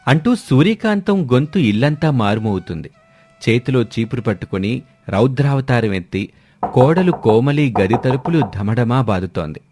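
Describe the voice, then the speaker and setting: A man's voice: medium pitch, big pitch swings, moderate pace, a little mellow, slight background noise. A man narrating a scripted moral story as its storyteller. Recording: music behind the voice. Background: music.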